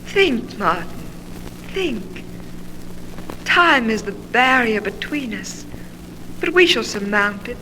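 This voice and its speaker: Slow, bright-voiced ghostly seductress. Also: female voice, a little high, big pitch swings, a little hiss or hum, heavily acted, poor audio. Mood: hypnotic, insistent. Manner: slow, deliberate, hypnotic phrasing. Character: seductive female ghost of a beautiful woman. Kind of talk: old-time radio drama acting.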